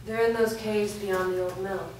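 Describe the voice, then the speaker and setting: A woman's voice: medium pitch, fairly even intonation, moderate pace, lightly acted. A woman playing a determined, guarded grown daughter in a staged fantasy drama reading. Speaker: actor. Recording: echoey room, distant mic, background noise.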